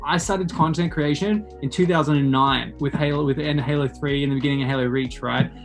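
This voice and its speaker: Australian man, late twenties, slightly high voice, enthusiastic rambling interviewer, casual chat, music behind. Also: steady tone, fairly quick. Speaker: podcast host interviewing a guest.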